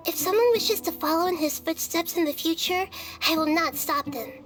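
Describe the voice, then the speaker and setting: A woman voicing a small, serene goddess of wisdom in a video game, speaking in measured, formal, philosophical sentences. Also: extremely high pitch, fairly bright tone, soft background music. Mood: serene, wise.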